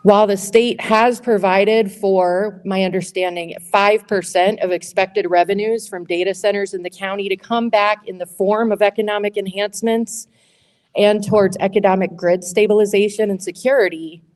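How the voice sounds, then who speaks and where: A woman with a loud, carrying voice speaks at a public meeting in a school auditorium. She works through prepared questions one by one in an orderly, steady way. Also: mid-range voice, normal speed. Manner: loud, clear, methodical questions. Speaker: state senate candidate.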